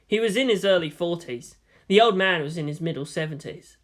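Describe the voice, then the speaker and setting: A man's voice: high-pitched, expressive, fairly quick. A man narrating a story from a script as a fan fiction reader.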